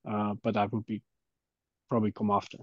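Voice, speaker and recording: A man's voice: on the low side, monotone, fairly quick, no echo. A man in a casual work call discussion, talking informally and thinking aloud as he explains his view. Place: online call. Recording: dry, dull call audio.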